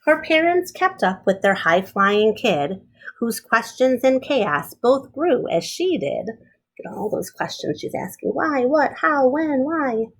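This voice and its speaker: A woman with a slightly high, husky voice reads a picture book aloud. Her pitch moves a great deal as she goes. She sounds like a teacher, stepping out of the text to explain and ask the children questions. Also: slow. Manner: animated, explains and asks questions of young listeners. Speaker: teacher reading aloud to pupils.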